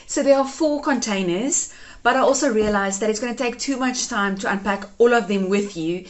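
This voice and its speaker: A woman's voice: on the high side, normal speed. A woman in her thirties whose first language is Afrikaans, chatting her way through a home decluttering vlog and gushing over keepsakes. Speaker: home organizing vlogger.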